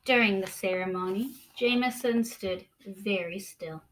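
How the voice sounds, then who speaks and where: A woman teacher reading aloud to children expressively, with deliberate dramatic pauses and questions for her young listeners. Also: medium pitch, a bit bright, a little hiss or hum, rough recording.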